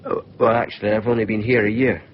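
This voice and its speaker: An actor playing a polite, flustered insurance assessor with a Scottish accent, hesitant and formal in a radio drama. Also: male voice, on the low side, little pitch movement, fast talker, close to an everyday voice. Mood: hesitant, guarded. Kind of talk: naturalistic radio drama acting. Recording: muffled, noisy off-air radio recording.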